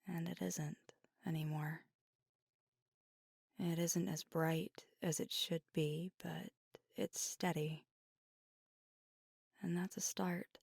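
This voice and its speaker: A woman acts out a fiery, proud werewolf girlfriend, worn out and confiding late at night. Her voice is low-set, husky and gravelly, often sinking into a breathy whisper. She talks haltingly in an intimate ASMR roleplay. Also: level tone, dry recording, studio quality, exaggerated voice. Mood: tender, reflective. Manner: hushed, halting, sighing, often slipping into whisper.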